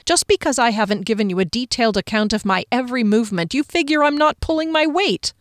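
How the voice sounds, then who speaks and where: A woman's voice: fairly high pitch, fast, dry recording, clean studio sound, voice pushed up, lightly acted. A woman voicing a defiant female fantasy adventurer in an audiobook, with a sarcastic, indignant manner. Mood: angry, defiant.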